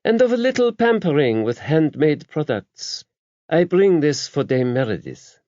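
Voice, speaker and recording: A man's voice: fairly high pitch, big pitch swings, measured pace, dark tone, no room sound, lo-fi sound, pitched above the performer's own voice. A man voicing a regal, imperious older French abbess in an audiobook, with a French accent and a stately, formal, emphatic way of talking. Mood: gracious.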